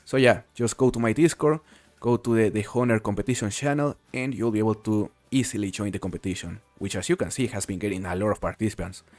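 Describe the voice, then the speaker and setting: Casual male streamer, lowish voice, easygoing talk to chat, dry mic. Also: quick pace, few pauses, no echo. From Mexico. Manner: relaxed, chatty, answers viewers while playing. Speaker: game streamer. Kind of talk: casual live-stream commentary, reading chat.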